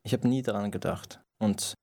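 A man's voice: lowish voice, normal speed, dark tone. A young man, answering interview questions hesitantly and restarting words as he searches for phrasing. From Iran. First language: Farsi.

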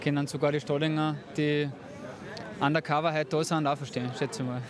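Crowd chatter is clear behind a male musician in a casual interview. He has a Pongau Austrian accent. His voice is somewhat high and very even, with little rise or fall. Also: brisk. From Pongau, Salzburg, Austria. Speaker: band musician being interviewed.

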